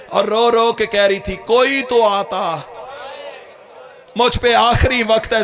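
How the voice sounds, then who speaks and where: A man's voice: very high-pitched, fairly bright tone. A man delivering an impassioned mourning sermon, crying out and wailing to the mourners. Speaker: religious orator.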